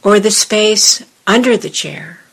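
A woman meditation teacher leading a guided meditation, giving measured instructions in short phrases with long pauses. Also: medium pitch, gravelly, slightly rough, rough recording.

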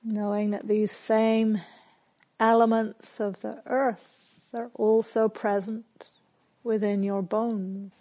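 A woman leading a guided meditation as a teacher, speaking gently and with measured, spare phrases separated by long silences. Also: mid-range voice, little pitch movement, muffled recording. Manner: gentle, measured, softly instructive.

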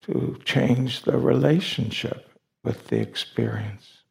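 Slow, very creaky male meditation teacher. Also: mid-range voice, huge pitch swings. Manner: deliberate, with long pauses between short phrases. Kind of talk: guided meditation instruction.

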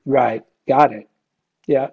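A man's voice: mid-range voice, fairly even intonation, takes it slowly, vocal fry, breathy edge, narrow, tinny audio. A man leading an informal group discussion as a meditation teacher, conversational and questioning, often checking in with listeners. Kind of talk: informal question-and-answer dharma talk.

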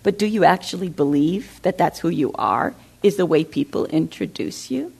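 Woman teaching meditation, a bit of vocal fry, asks the room lots of questions. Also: on the low side, animated, normal speed, darkish tone. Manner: reflective, asks the audience questions. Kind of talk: dharma talk. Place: meditation center hall.

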